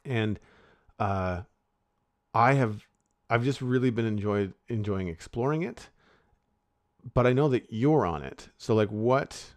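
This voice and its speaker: A man co-hosts a podcast in a relaxed chat. His voice sits fairly low, and he rambles and restarts his sentences often. He is recorded dry and close to the mic. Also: unhurried, pauses often, no echo. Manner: rambling, many restarts and fillers. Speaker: podcast co-host.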